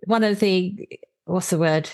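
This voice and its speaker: A woman's voice: on the low side, a little slow, a little mellow. A woman hosting a chatty book discussion in a playful, enthusiastic manner. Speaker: podcast host and interviewer. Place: remote call.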